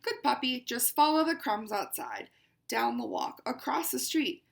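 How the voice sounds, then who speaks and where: A fussy, haughty house cat tells her tale in a storybook voice, unhurried and exclaiming, as a woman reading aloud plays her. The tone sits fairly high. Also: wildly swooping pitch, gravelly, exaggerated voice. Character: haughty pampered house cat, female-ish narrator, fussy. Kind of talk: read-aloud picture book.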